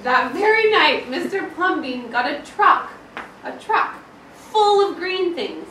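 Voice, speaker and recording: A woman schoolteacher reading a picture book aloud to a class, with dramatic, expressive storytelling and emphasis on key words. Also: very high voice, slow pace. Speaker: elementary school teacher. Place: indoor school classroom, with children present. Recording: roomy, distant, noisy classroom recording.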